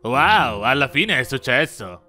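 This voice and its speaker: A man's voice: medium pitch, very animated, unhurried, pushed and bright, pitched below the performer's own voice, heavily acted. A man voicing an arrogant, irritable little cartoon chihuahua, sarcastic and exasperated. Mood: scornful. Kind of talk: cartoon dub acting in Italian.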